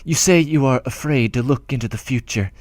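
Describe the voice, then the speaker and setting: A man's voice: medium pitch, a little fast, a little mellow, no echo, close to an everyday voice. A man reading an audiobook as a humble, timid older clerk who rambles, breaks off and fusses tenderly in his letters. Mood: tender fretful. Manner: rambling, self-interrupting, tender.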